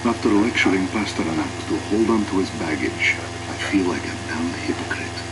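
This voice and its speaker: An actor playing a weary, bitter retired fighter pilot, narrating a war game in a somber, measured, reflective way. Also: male voice, sits low, fairly even intonation, heavy background noise, close to an everyday voice. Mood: grave reflection. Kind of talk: scripted dramatic narration. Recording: loud music behind the voice.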